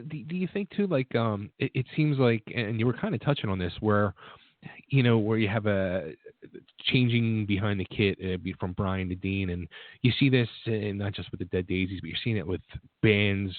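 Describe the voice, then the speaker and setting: A man hosting a rock radio show, asking long, halting interview questions full of fillers. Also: on the low side, no room sound. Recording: dry, tinny phone-like sound.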